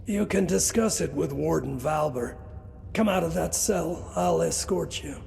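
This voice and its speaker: An actor playing a brisk, gossipy prison guard in a fantasy video game. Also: male voice, fairly high pitch, vocal fry, a little mellow, faint music behind. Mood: bossy, urgent. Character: Bastian, bossy chatty prison guard man in fantasy.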